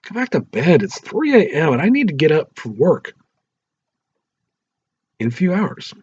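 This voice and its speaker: A man's voice: on the high side, sing-song, a little slow, darkish tone, slightly rough, lo-fi sound, voice pushed up, heavily acted. A man doing a harsh, raised-voice suspicious husband. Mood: irritated, groggy. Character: angry, suspicious, cold husband and reluctant father.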